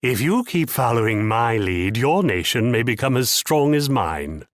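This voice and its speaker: Haughty male commander, strategy game character, pompous, patronizing, widely swinging intonation, mid-range pitch, dry close sound. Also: sing-song, unhurried, no echo. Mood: smug triumph. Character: haughty, shrewd Dutch military commander, condescending mentor and rival.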